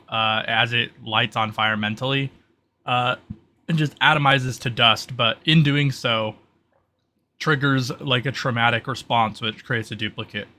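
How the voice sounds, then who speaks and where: A man's voice: mid-range voice, pushed and bright. A man running a tabletop roleplay game, explaining things casually and thinking aloud in a rambling, informal way. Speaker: game master.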